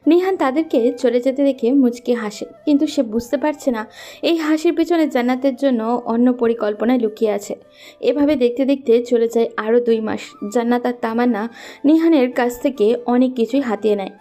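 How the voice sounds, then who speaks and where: A woman's voice: fairly high pitch, moderate pace, warm tone, faint music behind. A woman narrating a scripted story as its storyteller.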